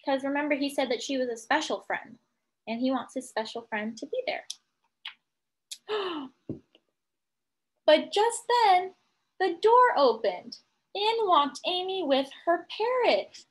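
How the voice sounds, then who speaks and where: A woman teacher reading a picture book aloud to young children, pausing to explain things simply and ask questions. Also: high-pitched, sing-song. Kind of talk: children's read-aloud with commentary.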